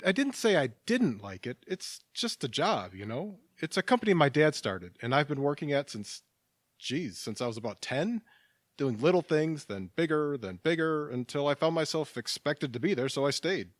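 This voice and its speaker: A mild, patient businessman in an audio drama. He is gentle and a touch hesitant, but his pitch moves in a lively way. The recording is dry and free of room sound. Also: moderate pace, no room sound. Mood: defensive, resigned. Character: mild, settled, kindly businessman, a patient listener. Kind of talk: naturalistic audio drama dialogue.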